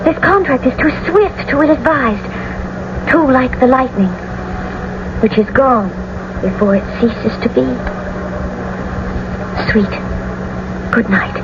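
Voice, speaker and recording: A woman's voice: sits high, takes it slowly, long pauses. An actress playing a tender, anxious, lovestruck young noblewoman in a classical radio drama, theatrical and earnest. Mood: earnest, cautious. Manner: theatrical, earnest, romantic delivery. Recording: muffled, noisy old radio broadcast recording.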